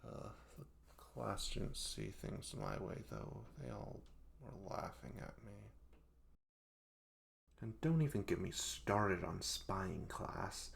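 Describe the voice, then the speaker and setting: Man acting flustered, low-voiced hapless young guy. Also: gravelly, slight background noise. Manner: flustered, rambling, self-deprecating, hesitant stammering. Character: hapless, sheepish young male best friend failing yandere school. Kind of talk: comedic audio roleplay monologue addressed to the listener.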